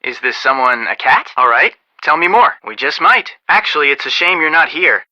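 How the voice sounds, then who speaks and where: A man's voice: on the high side, animated, moderate pace, bright, forceful tone, no echo, rough recording. An actor playing an earnest young male detective in a video game, articulate and dryly sarcastic in a conversational way. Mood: earnest, wry.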